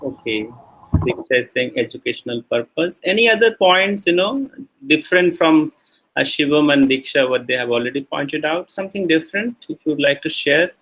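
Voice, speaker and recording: A male university lecturer with an Indian English accent leads an online class. His voice sits a little high and his talk is full of fillers as he coaxes students to answer. It is a relaxed, halting classroom manner. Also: poor audio.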